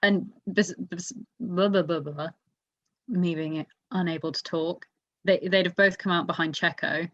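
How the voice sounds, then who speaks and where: A woman's voice: lowish voice, steady tone, normal speed, dry recording. A woman hosting a casual motorsport discussion, talking things through in an analytical, explanatory way. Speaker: podcast host. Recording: dull, muffled lo-fi audio.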